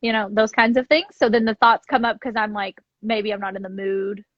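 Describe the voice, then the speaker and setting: A woman's voice: medium pitch, little pitch movement, fairly quick. A woman talking casually and reflectively as a podcast co-host, often checking in with "like" and "right?". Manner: tentative phrasing with frequent "like" and "right?" check-ins. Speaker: podcast co-host discussing self-study. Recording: muffled lo-fi sound, roomy echo.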